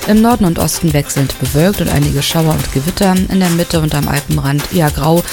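A man reading a radio news bulletin and the weather in a steady, even delivery. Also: a little high, a little fast, creaky, music in the background.

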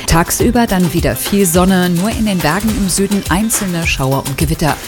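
Low-voiced female radio newsreader. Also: moderate pace, over music. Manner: crisp, even news delivery. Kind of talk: scripted radio news reading.

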